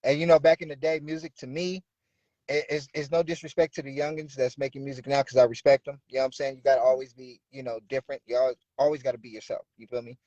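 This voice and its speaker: A man's voice: mid-range voice, flat delivery, fast, a little mellow, no room sound, muffled recording. A man in his thirties from the Bay Area, a rapper guest talking casually and often saying "you know what I'm saying". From Bay Area, California. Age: 30s. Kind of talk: casual interview talk.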